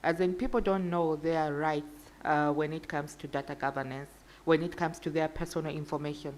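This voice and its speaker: Low-voiced woman panelist, halting speech. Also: fairly even intonation, darkish tone. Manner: hesitant, many restarts and fillers. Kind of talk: panel discussion talk.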